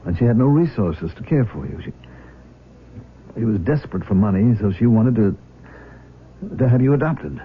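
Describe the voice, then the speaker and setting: An actor playing a gentle, apologetic older father in a 1970s radio drama, speaking carefully and hesitantly. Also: lowish voice, expressive, normal speed, pauses often, lightly acted. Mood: earnest explaining. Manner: hesitant, careful, repeating words. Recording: dull lo-fi radio broadcast, faint noise.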